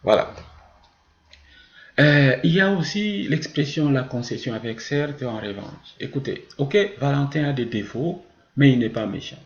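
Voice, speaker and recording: Gravelly male French teacher in an echoey room. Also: medium pitch, expressive, a little slow. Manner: didactic, asks and answers his own questions. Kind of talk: grammar lesson with spoken examples. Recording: muffled, roomy, echoing sound.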